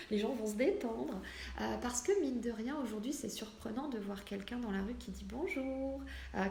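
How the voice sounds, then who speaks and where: A woman's voice: fairly high pitch, darkish tone. A woman in her forties speaking in an earnest, emphatic and conversational way, as in a casual motivational vlog. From France, Portuguese family background. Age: 40s. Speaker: medium addressing online followers. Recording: roomy echo, clear background noise.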